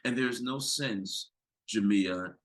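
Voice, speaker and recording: A man with a deep voice and an American accent, a psychologist speaking as a podcast guest, talking with emphatic, building intensity. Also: slow pace, a bit bright. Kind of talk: impassioned conversational talk.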